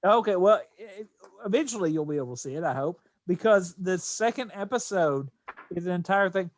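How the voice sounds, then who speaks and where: A man's voice: high-pitched, expressive, a little fast. An American man hosting a podcast in casual chat, rambling and often doubling back on his phrases. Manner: rambling, repeats and restarts phrases. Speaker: podcast host.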